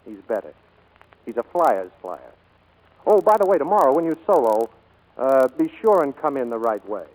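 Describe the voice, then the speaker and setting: A man's voice: mid-range voice, very animated, normal speed, a little mellow, close to an everyday voice, low-quality recording. An American actor playing a wry, easygoing male flying instructor and peacemaker in 1940s radio drama style. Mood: wry, advising.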